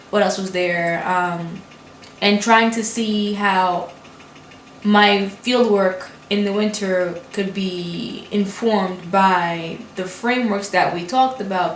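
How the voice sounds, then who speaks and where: A young woman in her twenties from Brooklyn, speaking English as a second language, talking casually to camera in fast, run-on sentences with restarts. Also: medium pitch. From Brooklyn, New York. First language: not English. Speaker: graduate student vlogger. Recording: echoey room with faint hiss.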